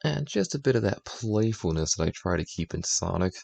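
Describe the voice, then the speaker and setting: A man's voice: low voice, sing-song, brisk, creaky, darkish tone, no echo. A man streaming as he writes fan fiction, casually explaining his choices and thinking aloud. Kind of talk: casual commentary on his own writing.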